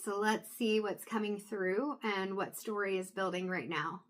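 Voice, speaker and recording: A woman reads tarot cards aloud in a relaxed, chatty way, talking through her thoughts with fillers and restarts. Her voice sits at an ordinary middle pitch but is wearing thin and hoarse. She pauses now and then to clear her throat. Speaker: tarot reader. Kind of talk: casual intuitive tarot reading to camera.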